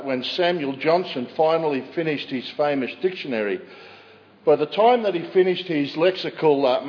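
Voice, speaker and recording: A man preaching a sermon, speaking in a measured way with occasional fillers and self-corrections, and with a loud voice. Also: a little high. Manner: says he has a loud voice. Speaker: pastor. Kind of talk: expository sermon. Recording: dull church recording with long reverb.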